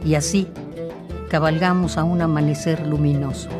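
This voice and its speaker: Low-voiced woman reciting over guitar music. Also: fairly even intonation, normal speed. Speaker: narrator of a radio capsule. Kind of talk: poetic scripted solidarity narration over music.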